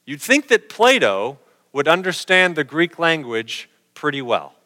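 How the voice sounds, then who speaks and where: A man's voice: fairly high pitch, very animated, a little slow, pushed and bright, big-room sound. A middle-aged man preaching a sermon in a conversational way, posing questions to his listeners.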